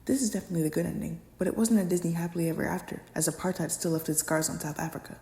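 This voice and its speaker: Fast-talking young woman narrator, very echoey. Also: on the low side, dark tone. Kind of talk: scripted educational narration. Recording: echoey, reverberant room.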